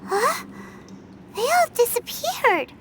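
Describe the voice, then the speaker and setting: A woman voicing a tiny, excitable, childlike floating fairy companion in a video game, expressive and squeaky. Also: slow, heavy background noise, heavily acted. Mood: curious, bright. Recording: loud music over the voice.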